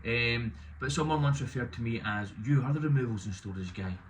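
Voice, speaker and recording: A man in his thirties, loud and opinionated with a self-deprecating streak, talking casually to camera about his business. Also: mid-range voice, brisk, a little hiss or hum. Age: 30s. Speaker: business owner vlogging.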